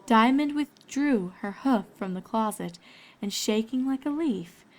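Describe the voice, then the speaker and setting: A woman's voice: fairly high pitch, animated, measured pace. A woman storyteller reading scripted fiction aloud, with ambient noise behind her.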